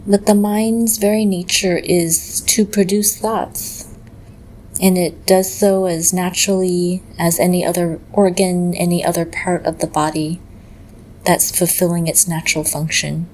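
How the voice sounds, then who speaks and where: A woman with a fairly low voice guides a meditation very slowly. Her delivery is gentle and measured, with long pauses between phrases. There is clear background noise behind her. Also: level tone, low-quality recording.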